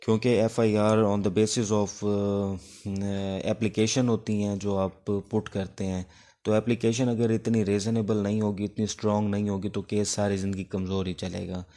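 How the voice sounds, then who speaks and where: Man, Pakistani accent, low-pitched, monotone, explanatory exam-prep teacher, code-switching. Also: unhurried. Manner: explanatory, code-switches between Urdu and English. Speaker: law teacher and advocate. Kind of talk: informal exam-prep law lecture.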